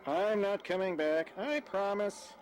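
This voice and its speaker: A man's voice: sits high, unhurried. A man playing a nervous, sheepish lover caught out, hesitant and evasive, in an audio drama. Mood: defensive, nervous. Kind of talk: radio-play style dramatic acting. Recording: faint background noise.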